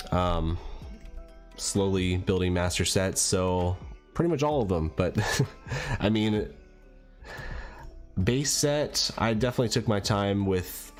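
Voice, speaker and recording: Low-voiced, slightly raspy man chatting. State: getting over a cold. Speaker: livestream host and card collector. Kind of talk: casual livestream chat.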